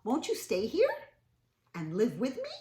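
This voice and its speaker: A woman's voice: a little high, wildly swooping pitch, a little slow, noisy background, voice pushed up. A woman voicing a good-natured, hopeful male caveman from a storybook, in an earnest, wondering way. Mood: friendly. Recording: roomy, echoing indoor sound.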